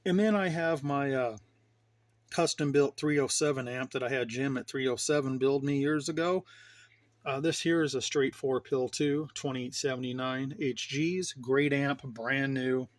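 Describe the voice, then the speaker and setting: A man's voice: medium pitch, fairly even intonation, unhurried. Middle-aged man, rambling and casual. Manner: rambling, informal enthusiast. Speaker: hobbyist vlogger showing his radio gear. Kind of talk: casual show-and-tell vlog.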